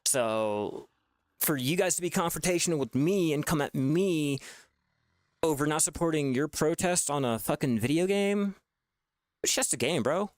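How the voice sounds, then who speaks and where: A man's voice: fairly high pitch, normal speed, no echo, studio quality. A man making gaming videos, ranting in a frustrated way with lots of swearing and restarts. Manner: frustrated, profane, repetitive restarts. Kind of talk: ranting gameplay commentary.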